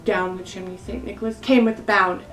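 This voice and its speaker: A woman's voice: mid-range voice, steady tone, a little fast, fairly bright tone. Sassy female comic reader, colloquial and mock-scolding, echoey room, Christmas music underneath. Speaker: performer in a dramatic poem reading. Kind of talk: poem recital with comic ad-libbed commentary. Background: jazzy Christmas music.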